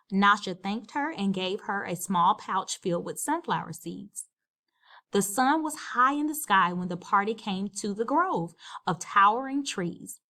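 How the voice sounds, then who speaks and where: A woman narrating a children's storybook as a read-aloud, measured and expressive. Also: medium pitch. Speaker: storybook reader.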